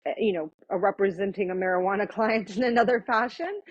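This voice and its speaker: A woman in her thirties, a lawyer guest on a podcast, talking reflectively in a relaxed conversational way with many fillers and restarts. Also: mid-range voice, fast talker, dry recording, highs cut off. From born in Thailand, raised in Florida and India. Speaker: guest, attorney.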